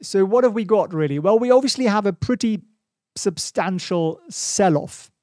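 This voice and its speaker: German guy chatting through stock charts live, kinda high voice, dry close mic. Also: animated, normal speed, a little mellow, no room sound. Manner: chatty, thinks aloud, reads viewer questions. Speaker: stock market livestream host. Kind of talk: casual live market commentary. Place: small home studio, indoors. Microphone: studio mic on boom in front of face.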